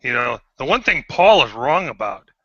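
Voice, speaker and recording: A man in his fifties, a guest on an online panel, speaking haltingly with many pauses and restarts. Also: mid-range voice, big pitch swings, pushed and bright, no echo, dull audio. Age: 50s. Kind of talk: casual group chat.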